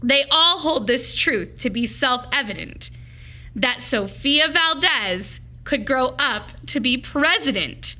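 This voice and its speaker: A woman reads a picture book aloud in a high, bright voice. Her phrasing is slow and deliberate, shaped dramatically for young children, as a teacher does at storytime. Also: animated.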